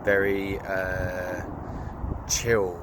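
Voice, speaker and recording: A man's voice: sits low, completely flat delivery, extremely slow, noisy background. A young man casually telling a story to camera, rambling and informal. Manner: rambling, informal, with fillers and laughs. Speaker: vlogger.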